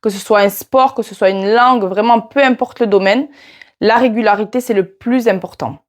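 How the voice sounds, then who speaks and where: A woman's voice: medium pitch, normal speed. A woman in her twenties with a southern French accent, chatting casually to camera about teaching Portuguese and often turning to the viewer. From France. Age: 20s. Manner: chatty, addresses viewer directly with "tu vois". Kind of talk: casual vlog talking to camera.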